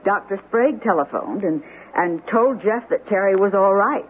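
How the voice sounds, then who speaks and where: An actress playing an anxious, well-mannered wife in a 1970s radio drama, speaking in flustered repetitions. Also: female voice, medium pitch, animated, moderate pace, natural voice. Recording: noisy, tinny old radio broadcast recording.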